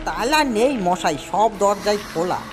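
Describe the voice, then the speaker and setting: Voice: mid-range voice, expressive, measured pace, close to an everyday voice. An irritable village man, acted out by a storyteller, warns people off with agitated insistence. The delivery is uneasy and dismissive, in a horror audiobook style with music underneath. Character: irritable, uneasy village man warning strangers off a haunted house. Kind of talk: dramatised story reading.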